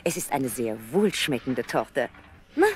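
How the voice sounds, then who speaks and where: A woman's voice: extremely low pitch, big pitch swings, a little slow, a little mellow, exaggerated voice. An actress playing a chatty, flippant young woman traveller in a 1970s German radio play, gushing and cheerfully familiar. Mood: chatty, coaxing.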